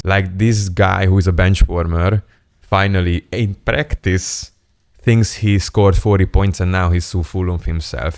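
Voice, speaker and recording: Deep-voiced man. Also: darkish tone, no room sound, studio quality. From Hungary. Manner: playful, self-mocking, candid. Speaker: self-improvement YouTuber. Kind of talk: casual storytelling monologue to camera.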